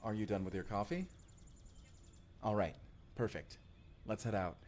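A man's voice: on the low side, measured pace, darkish tone, slight background noise, close to an everyday voice. A man playing a young, friendly time traveler in an audio roleplay, talking casually and intimately straight to the listener. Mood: affectionate, reassuring. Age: young adult. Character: friendly, chatty male time traveler, college-aged-looking, eager and cautious. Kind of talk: first-person immersive audio roleplay acting.

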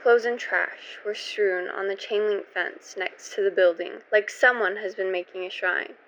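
A woman's voice: medium pitch, fairly even intonation, a little slow, a bit bright, muffled recording, a little hiss or hum. A woman hosting and narrating a scripted first-person radio theater piece in a theatrical, suspenseful manner. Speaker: host and narrator.

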